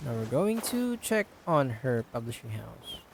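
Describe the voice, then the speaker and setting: Very low-voiced woman casually streaming a game. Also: sing-song, unhurried, gravelly, slight background noise. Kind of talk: casual gameplay commentary.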